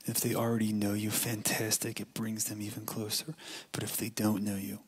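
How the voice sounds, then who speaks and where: A man on a church stage praying slowly and deliberately into a stand mic before the sermon. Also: fairly low pitch, completely flat delivery, slight background noise. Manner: slow, deliberate prayer. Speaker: worship leader praying. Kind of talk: spoken prayer. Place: church stage behind keyboard.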